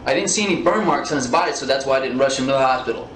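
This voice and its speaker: A man's voice: mid-range voice, very fast, fairly bright tone. An American man in his thirties answering questions in a police interview, talking informally and rambling, with some swearing. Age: 30s. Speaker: suspect being questioned by police. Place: indoor police interview room. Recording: echoey room, noisy interrogation audio.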